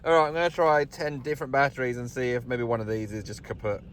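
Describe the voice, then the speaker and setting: A man's voice: medium pitch, little pitch movement, quick pace, slight background noise. A British man chatting casually and informally to camera as a vlogger, sitting inside a vehicle. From United Kingdom. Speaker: vlogger and radio hobbyist. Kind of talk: casual vlog chat to camera. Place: inside a parked pickup truck.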